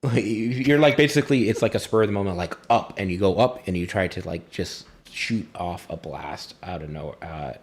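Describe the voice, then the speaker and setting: A man acting as game master, narrating and explaining a tabletop game in a halting, conversational way. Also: sits low.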